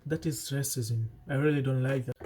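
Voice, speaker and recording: A man's voice: medium pitch, fairly even intonation, normal speed, darkish tone, slightly rough. A young man talking casually to camera, earnest and chatty, often restarting his sentences and adding asides. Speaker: vlogger. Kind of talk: casual vlog advice to camera.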